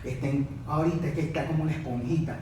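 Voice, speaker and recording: A male psychologist in a casual panel talk, halting and repeating words as he searches for them. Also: on the high side, steady tone. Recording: echoey room, far from mic.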